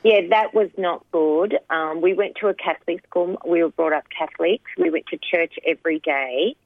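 A woman in her sixties, from Sri Lanka, telling her life story in an interview, soft-spoken and hesitant with many pauses. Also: fairly low pitch, no echo. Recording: dry, phone-like narrow sound.

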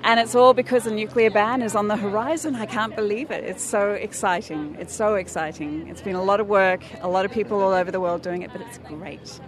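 A woman's voice: medium pitch, steady tone, a little fast, hardly any pauses. An Australian woman speaking enthusiastically and off the cuff as a campaign organiser in a street interview. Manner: enthusiastic, effusive. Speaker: anti-nuclear campaign organiser being interviewed. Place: outdoors in a city street. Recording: outdoor city recording with crowd noise.

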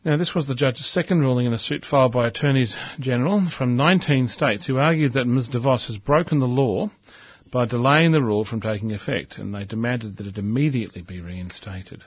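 A man's voice: medium pitch, fairly quick, breathy edge, no room sound, lo-fi sound. A man hosting a talk show, reading out a newspaper editorial and adding his own earnest, emphatic comments. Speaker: radio host reading an editorial.

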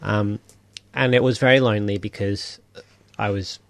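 Low gravelly man, earnest guest. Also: steady tone, normal speed, no room sound. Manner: reflective, earnest. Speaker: writer guest. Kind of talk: radio interview.

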